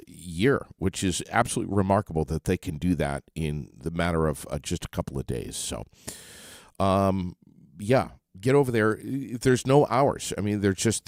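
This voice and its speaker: A man's voice: low-pitched, a little mellow, no echo, clean studio sound. A man hosting a casual morning radio show, upbeat and chatty with lots of fillers.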